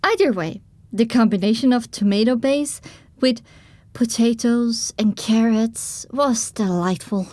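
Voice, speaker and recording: A woman's voice: on the high side, a little slow, mellow, no room sound. A woman reading first-person dramatic story narration for an audio drama.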